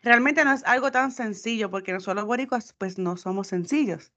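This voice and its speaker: A woman, co-hosting a podcast and arguing her point in an animated way with many restarts. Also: a little high, brisk. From United States, Puerto Rican family. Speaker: podcast co-host. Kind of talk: casual podcast chat. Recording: dull lo-fi sound.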